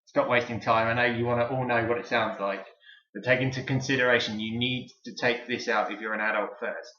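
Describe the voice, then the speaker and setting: A man's voice: medium pitch, pushed and bright. A man giving a chatty, enthusiastic, rambling hands-on product review in a casual style. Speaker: toy and collectible reviewer. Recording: roomy echo, dull lo-fi sound.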